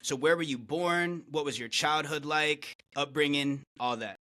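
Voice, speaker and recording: A man's voice: on the high side, unhurried, sharp tone. A man from Florida hosting a podcast, talking in an upbeat, friendly way and calling his guest "man" a lot. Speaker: podcast host. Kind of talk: casual interview chat.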